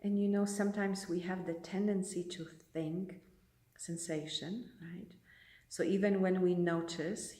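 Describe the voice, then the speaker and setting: A woman leading a guided meditation as a teacher, speaking in a gentle, unhurried way with long pauses. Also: mid-range voice. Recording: roomy echo, distant microphone.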